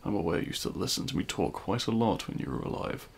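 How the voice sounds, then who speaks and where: A man playing a remorseful, self-loathing supervillain in an intimate audio roleplay, speaking in a halting, anguished way. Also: sits low, animated, gravelly, a little hiss or hum. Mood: tender sorrow. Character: remorseful male supervillain confessing love at a grave.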